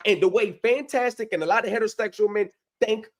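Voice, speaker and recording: A man's voice: sits high, fast, a little mellow. A man on a livestream panel, explaining his view in long, rambling sentences full of repeated phrases. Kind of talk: heated debate on a livestream panel.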